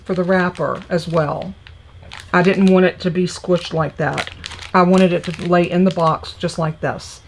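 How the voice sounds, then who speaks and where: A woman with a scratchy, congested voice, chattily explaining a papercraft project step by step as she works. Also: mid-range voice. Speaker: papercraft demonstrator. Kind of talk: live crafting tutorial.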